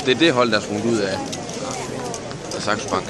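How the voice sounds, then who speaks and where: A man's voice: lowish voice, fairly even intonation, over a noisy crowd. A Danish man chatting casually as a spectator in a very noisy crowded venue. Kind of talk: casual chat among spectators.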